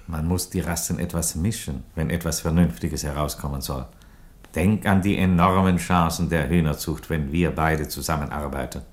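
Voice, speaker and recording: An actor in a radio play playing a calm, reasonable Roman emperor, speaking with dry, philosophical courtesy. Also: male voice, very deep voice. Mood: calm persuasion. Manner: measured, philosophical, drily courteous. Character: calm, ironic, reasonable Roman emperor who loves chickens. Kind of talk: theatrical radio play dialogue.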